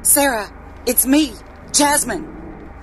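A woman's voice: high-pitched, takes it slowly. A woman playing a frightened but determined captive woman in a horror audio drama. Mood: urgent, reassuring. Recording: noisy background hum.

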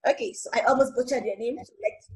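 Playful high-voiced woman host. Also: fast talker. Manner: playful, teasing, many fillers. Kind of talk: casual webinar interview.

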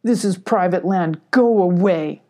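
A woman's voice: medium pitch, expressive, a little slow, a little mellow, slightly rough. Storybook badger, female reader: grumpy, territorial male, curt hissing growl, unfriendly. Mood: hostile, curt. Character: grumpy, hostile male badger guarding his land. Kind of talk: children's storybook character voice.

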